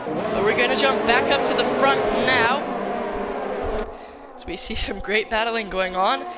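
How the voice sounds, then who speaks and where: A woman commentating a sim race live, excitable and slightly hoarse from losing her voice. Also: measured pace. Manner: excitable, rising pitch in close battles. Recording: loud crowd and engine noise behind. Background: race car engines and crowd ambience.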